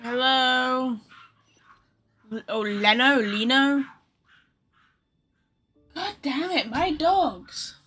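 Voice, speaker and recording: Bright-voiced woman streaming a game. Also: high voice, drawn-out words, long pauses, slightly rough, faint music behind. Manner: informal, reacting aloud. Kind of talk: casual livestream commentary.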